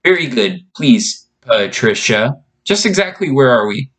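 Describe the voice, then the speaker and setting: A man's voice: mid-range voice, sing-song, measured pace, fairly bright tone, slightly rough, heavily acted. A man voicing a tiny, earnest young wizard boy from Japan with formal, courteous phrasing, in a storybook reading. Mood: polite, eager.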